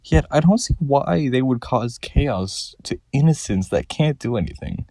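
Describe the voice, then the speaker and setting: A man's voice: mid-range voice, expressive, a little slow, warm tone, no echo. A man giving scripted commentary as the host of a theory video.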